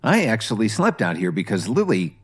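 An earnest, determined young husband in an audio story, voiced by a man close to his own voice. His speech rises and falls with lively pitch. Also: brisk, breathy edge, heavily acted.

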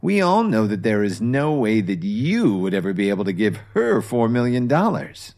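A man voicing a spiteful young woman who mocks and taunts in slow, sneering tones. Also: on the low side, very animated, darkish tone. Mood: mocking. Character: mocking, cackling young woman, a spiteful rival.

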